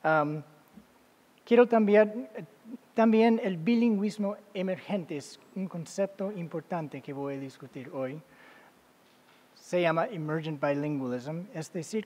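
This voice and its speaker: A man with as his giving an academic talk as a guest lecturer, halting, with many fillers and self-corrections. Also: high voice, a little mellow. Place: lecture hall. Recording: long reverb of a large hall.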